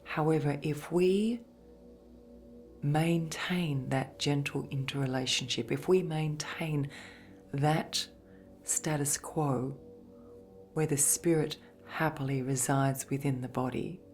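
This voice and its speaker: A woman gently narrating a guided sleep meditation in a soft, breathy, soothing voice, with measured phrases and long pauses. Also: sits low, fairly even intonation. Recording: voice over background music. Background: meditation music.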